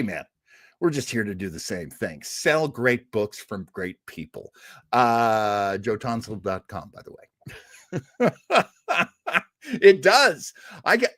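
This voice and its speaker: A man's voice: fairly low pitch, big pitch swings, slow pace, fairly bright tone, no echo, clean studio sound. A man hosting a podcast, wrapping up the episode in casual, rambling chat with self-deprecating asides. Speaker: podcast host.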